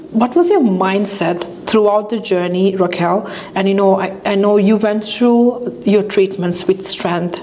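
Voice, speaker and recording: A woman from Sri Lanka hosts a talk show interview at a middle pitch. She asks her questions encouragingly, with plenty of fillers and restarts. A faint background noise sits under her voice. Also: darkish tone. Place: Canada.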